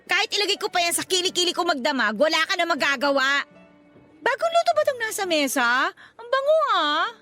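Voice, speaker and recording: A woman's voice: very high voice, very animated, fairly quick, sharp tone, faint music behind, heavily acted. A woman playing a brash, teasing young vendor in a Filipino radio drama, with a sassy, gossipy and exclamatory delivery. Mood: sassy, annoyed.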